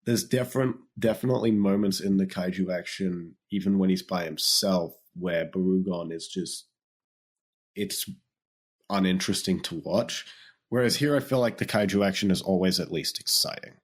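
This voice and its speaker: A man co-hosting a podcast, hoarse and losing his voice from illness, chatting casually about a film with a teasing, jokey manner. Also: deep voice, normal speed. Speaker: podcast co-host.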